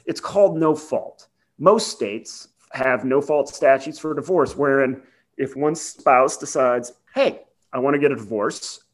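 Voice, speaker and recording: A man's voice: medium pitch, a bit bright. A man co-hosting a podcast, explaining legal points about a film in a casual, conversational way with wry asides. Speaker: podcast co-host.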